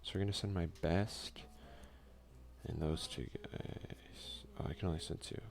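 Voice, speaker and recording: A man softly giving casual ASMR let's play commentary, muttering offhand asides and reading game text aloud. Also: sits low, fairly even intonation, unhurried, vocal fry. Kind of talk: ASMR gaming commentary, soft-spoken and casual.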